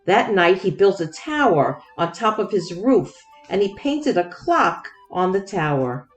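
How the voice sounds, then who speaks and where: Slow, lively woman reading a storybook. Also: medium pitch, faint music behind. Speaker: children's book read-aloud host. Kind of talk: expressive storybook narration for young children.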